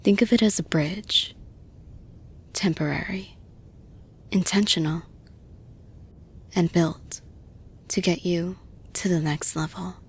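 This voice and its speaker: Woman acting a breathy, slow-talking lady boss. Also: lowish voice, heavily acted. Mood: gently persuasive. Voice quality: breathy, often dropping to a whisper. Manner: slow, deliberate, reassuring. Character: caring, persuasive female boss offering support to employee. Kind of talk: intimate audio roleplay, soft-spoken monologue.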